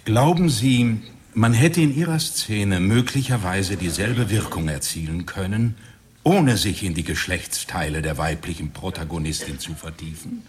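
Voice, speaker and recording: Critical professor for radio drama, male actor: deep, measured, formal, quietly persistent. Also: lightly acted. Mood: politely critical.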